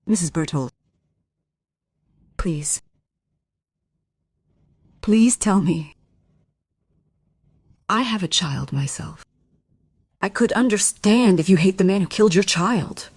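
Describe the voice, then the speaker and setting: A woman's voice: mid-range voice, slow, long pauses, darkish tone, breathy edge, no room sound, clean studio sound. A woman playing an anxious wife full of doubt in a radio drama, speaking in an insistent, questioning way. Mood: anxious, pleading. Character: anxious musician's wife doubting her husband's innocence. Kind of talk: dramatic radio play dialogue and monologue.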